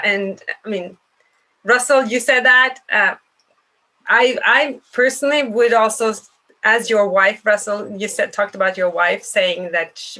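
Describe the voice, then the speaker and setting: A woman with a bright, crisp voice, talking over a video call. She chats in a friendly, easygoing way, now and then pausing to hunt for a word. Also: mid-range voice, low-quality recording. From Norway. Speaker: podcast co-host. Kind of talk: casual conversation.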